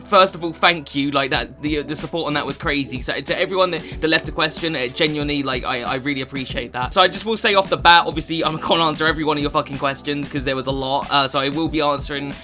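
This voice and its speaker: A man's voice: on the high side, fast talker, hardly any pauses, sharp tone, soft background music. A twenty-one-year-old man, casually answering fan questions for his gaming channel, chatty and sweary, with many restarts. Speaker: gaming YouTuber.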